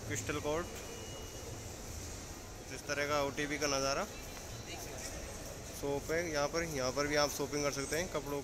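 A man's voice: fairly high pitch, flat delivery, moderate pace, pauses often. Chatty young man touring a mall. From India. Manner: chatty guide, repeatedly addressing friends. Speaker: vlogger. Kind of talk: casual travel vlog narration. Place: outdoors on a motorbike, then inside a busy mall. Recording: loud road and crowd noise.

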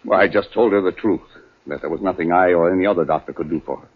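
A man playing a nervous, evasive doctor with a husky voice in a 1940s radio drama, halting and agitated. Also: deep voice. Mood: grave. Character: nervous, evasive male doctor, stern with his wife. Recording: muffled 1940s radio recording.

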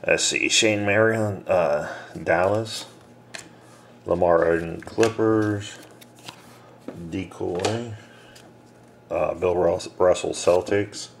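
Man, very deep gravelly voice, slow delivery, camera mic with noisy background. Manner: reads names and teams in a low mumble. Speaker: card breaker. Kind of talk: casual card-break commentary. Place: small room indoors. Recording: noisy room with card shuffling.

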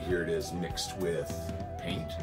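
A very deep-voiced man talks in a folksy, upbeat way, full of fillers, while filming on a phone in a big indoor workspace. Loud music plays under his voice throughout. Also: steady tone, slow. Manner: folksy, enthusiastic, chatty with fillers. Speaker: haunted attraction builder. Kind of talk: casual how-to vlog. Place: large indoor workshop space.

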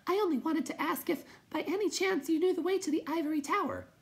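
A woman voicing a timid, embarrassed little will-o'-the-wisp spirit, polite and halting, for a storybook. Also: very high voice, voice pushed up. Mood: flustered.

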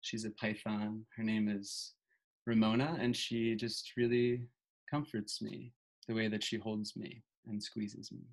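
Soft-spoken young man on a video call. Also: on the low side, level tone, measured pace, low-quality recording. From Buffalo, New York. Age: 20s. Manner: soft-spoken, hesitant with ums. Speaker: participant. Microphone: laptop webcam on video call.

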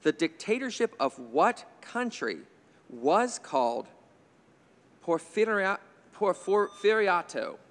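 A man's voice: high voice, very animated, slow, a bit bright, big-room sound. A man in his fifties moderating a quiz bowl from a studio desk mic, reading questions with chatty, jokey asides. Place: indoor TV studio stage. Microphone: desk microphone on a stand.

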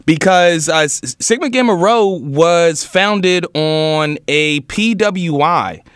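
A man's voice: a little high, slow, fairly bright tone, clear, resonant tone, no echo, clean studio sound. A man moderating a panel discussion, hesitant, stumbling through his questions. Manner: hesitant, many fillers and restarts.

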